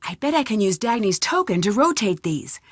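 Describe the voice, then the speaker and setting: An actress voicing a curious, plucky young woman detective in a video game, thinking aloud to herself in clearly enunciated lines. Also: mid-range voice, big pitch swings, fairly quick, dry recording, studio quality. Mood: curious, focused.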